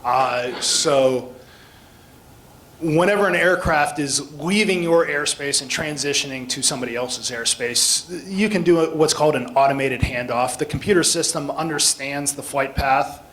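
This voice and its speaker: A man's voice: a little high, measured pace, a bit bright, slight background noise, poor audio. American man giving an informal explanatory talk. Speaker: guest speaker, air traffic control instructor. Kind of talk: informal public talk with audience questions.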